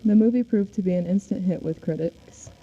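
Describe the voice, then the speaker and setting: A woman's voice: mid-range voice, a little fast, warm tone, lightly acted. A woman playing a male 1940s singer and film star in a radio interview, reading out the answers in a recited way. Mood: composed, recited. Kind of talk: amateur scripted radio show. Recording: dull recording with faint noise.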